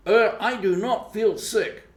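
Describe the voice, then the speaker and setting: A man voicing an eager, boastful young boy in storybook dialogue, with an excited, emphatic delivery. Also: sits high, takes it slowly, voice pushed up. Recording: roomy, echoing voice recording.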